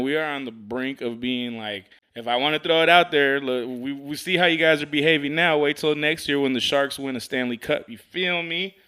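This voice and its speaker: A man's voice: mid-range voice, bright, forceful tone, clean tone. A man hosting a podcast, talking in a casual, slangy, profane way and telling stories with animation. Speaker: podcast host.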